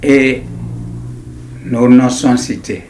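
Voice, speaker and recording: A man in his nineties with an Ivorian accent, recounting his memories in an interview in a deliberate, halting way with repetitions. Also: mid-range voice, flat delivery, a little mellow, a little hiss or hum. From Ivory Coast. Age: 90s. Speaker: interviewee, writer. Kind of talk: personal recollection in an interview.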